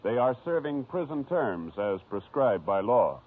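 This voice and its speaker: An American man announcing formally for 1950s radio in a solemn, measured manner. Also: mid-range voice, very animated. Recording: muffled vintage radio with faint music.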